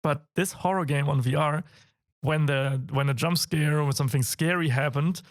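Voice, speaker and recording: A young German man with a raspy, hoarse voice, co-hosting a casual games podcast, laughing often and getting excited. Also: on the high side, moderate pace. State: hoarse from a cold. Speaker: podcast co-host. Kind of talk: casual chat.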